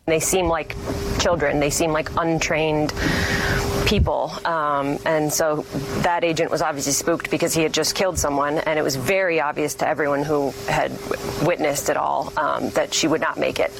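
Clear background noise with vehicles sits behind a woman's fairly low voice. She gives an unscripted witness account to the news, halting and restarting but insistent. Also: fairly even intonation. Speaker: eyewitness resident.

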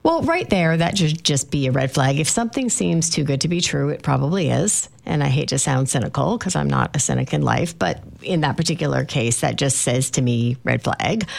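A woman from Canada runs the interview with a low, gravelly voice. She keeps it chatty and encouraging and asks long questions. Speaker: realtor and interviewer. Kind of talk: conversational interview.

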